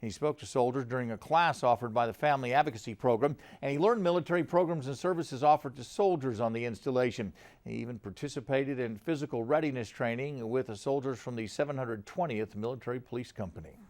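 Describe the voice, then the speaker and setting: A man's voice: medium pitch, fast talker, a little mellow. A man with an American accent reading scripted news as a television anchor.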